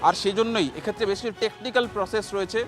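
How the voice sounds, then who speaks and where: A man's voice: high voice, fast, soft background music. A man reporting live, explaining a procedure and rephrasing terms as he goes. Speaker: field reporter.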